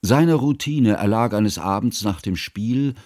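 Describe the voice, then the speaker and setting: An actor playing an earnest, learned male chronicler, narrating a literary radio drama in formal, measured, pedantic diction. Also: on the low side, darkish tone, no echo, clean studio sound. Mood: scornful, sorrowful.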